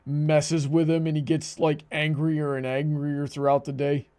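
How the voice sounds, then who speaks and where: A man hosting a livestream in casual conversation, talking haltingly and repeating words as he goes. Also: a little high, flat delivery, dark tone, clear, resonant tone.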